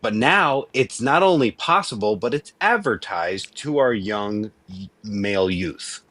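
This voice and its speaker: Guest, man pushing forty, low voice, dry close sound, emphatic and earnest. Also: lively intonation, measured pace, a bit bright, dry recording. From Venezuela. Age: 30s. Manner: earnest, emphatic, restarts often. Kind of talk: casual debate chat.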